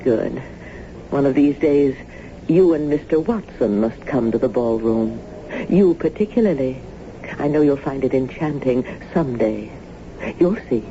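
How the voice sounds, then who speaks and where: A woman's voice: very deep voice, lively intonation, a little slow, mellow. A woman acting in a radio drama as a courteous, formal neighbor hostess with a subtly sinister, insinuating manner. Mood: smoothly inviting. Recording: muffled, noisy old radio broadcast.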